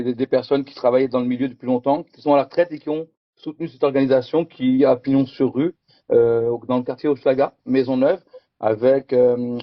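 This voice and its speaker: A man's voice: medium pitch, dry recording. Man, middle-aged, from France, conference presenter, hesitant, dry close sound, slightly dull. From France, Picardy. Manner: hesitant, many fillers and repeated words.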